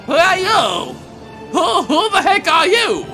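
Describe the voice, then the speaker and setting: Male comedy dubber, excitable and loud, wildly varied pitch, gravelly, over music. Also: slow. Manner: excitable, shouting, silly put-on voices. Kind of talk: improvised comedy dub of game cutscenes. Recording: music under the voice.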